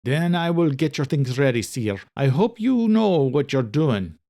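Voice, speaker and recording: Man voicing a higher-pitched, scolding woman housekeeper. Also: sing-song, unhurried, mellow. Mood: worried scolding. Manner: pointed, disapproving. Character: audacious, scolding woman housekeeper, loyal to her employer.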